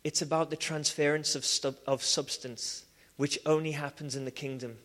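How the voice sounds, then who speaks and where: A man with a Liverpool accent preaches to a church congregation, his speech animated and full of restarts and fillers. His voice sits a little high for a man. Long reverb from the hall trails behind every phrase. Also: moderate pace. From England. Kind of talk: sermon with personal testimony.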